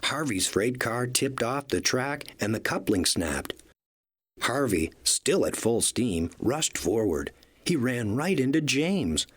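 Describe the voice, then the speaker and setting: A man narrates a children's storybook in an animated read-along style. His mid-pitched voice has a husky edge and swings widely in intonation. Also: takes it slowly.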